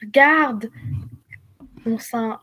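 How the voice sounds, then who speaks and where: A woman's voice: on the high side, very slow, bright, forceful tone. A young woman with a Quebec French accent, telling things in a slangy, casual way on a group video call. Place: indoors at home, on a video call.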